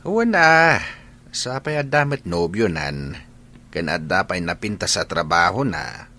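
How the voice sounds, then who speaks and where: A low, animated, opinionated older man. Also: measured pace, slight background noise, exaggerated voice. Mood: neighbourly. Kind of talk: Ilocano radio drama acting.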